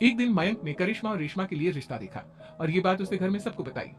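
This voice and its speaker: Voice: mid-range voice, lively intonation, fast talker, faint music behind. A narrator reading a scripted family moral story.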